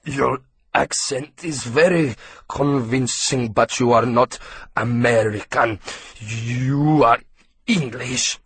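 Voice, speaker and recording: A man voicing a disfigured male villain who interrogates a boy slowly and coldly, in a husky voice. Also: mid-range voice, a bit bright, no echo, full character voice. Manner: slow, drawn-out and cold.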